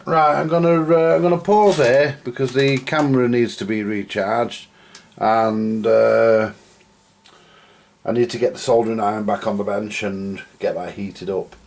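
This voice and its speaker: A man's voice: fairly low pitch, fairly even intonation, measured pace, darkish tone. A man giving casual, rambling hands-on repair commentary to viewers, thinking aloud as he works. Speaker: host of a radio repair video. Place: at a home workbench. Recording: clear background noise with clicks.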